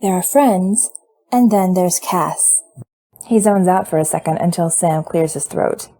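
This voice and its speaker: A woman narrating a story in a relaxed, conversational way. Her voice sits a little low and sounds mellow and warm rather than bright. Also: unhurried, soft background music. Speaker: fan fiction reader. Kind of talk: audiobook narration.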